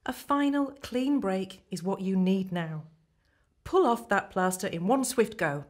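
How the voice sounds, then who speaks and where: A woman's voice: medium pitch, lively intonation, unhurried. A woman playing an encouraging, slightly daft therapist in a radio play, earnest and reassuring. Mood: earnest encouragement.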